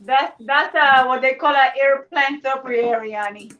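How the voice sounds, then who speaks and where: A woman with a Puerto Rican accent chatting on a video call while crafting, often pausing to search for words. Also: high voice, bright, forceful tone, distant mic, muffled recording. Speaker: crafter guest. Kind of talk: casual group chat.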